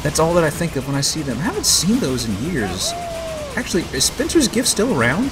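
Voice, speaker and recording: Lively man bantering over a video game. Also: on the high side, fairly quick, low-quality recording. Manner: joking banter. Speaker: let's play host playing the game. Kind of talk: casual gaming commentary chat. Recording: game music behind the voice. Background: video game music.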